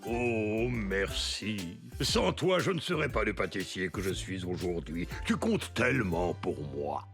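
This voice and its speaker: A man voicing an excitable, doting donut baker in a cartoon dub, effusive and easily flustered. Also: fairly low pitch, a little slow, few pauses, full character voice. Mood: adoring. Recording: music behind the voice.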